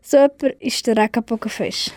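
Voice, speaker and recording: A woman's voice: on the high side, steady tone, a little fast, a little mellow. A female presenter with a Swiss accent, giving a scripted but chatty radio introduction.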